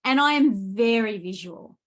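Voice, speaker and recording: A woman chatting casually as a guest author, thoughtful and animated in how she explains things. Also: a little high, measured pace, low-quality recording. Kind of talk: casual book interview chat.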